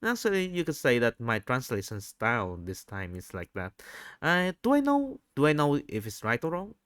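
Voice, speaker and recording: A man with a Vietnamese accent recording a spontaneous, unscripted audio log, speaking haltingly and often rephrasing himself. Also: medium pitch, huge pitch swings, a bit bright, dry recording, studio quality. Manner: halting, repeats and rephrases phrases.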